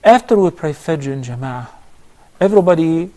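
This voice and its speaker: A man, a sheikh on a call-in show, giving measured, step-by-step religious advice. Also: a little high, animated, dark tone. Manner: measured, instructive, lists steps. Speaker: sheikh answering viewer questions.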